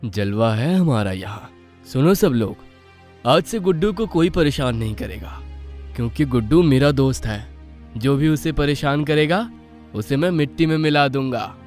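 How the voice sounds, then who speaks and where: A man voicing a calm, assured, protective divine schoolboy in an animated bedtime story, speaking without hurry. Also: mid-range voice, normal speed, faint music behind, lightly acted. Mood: confident, firm.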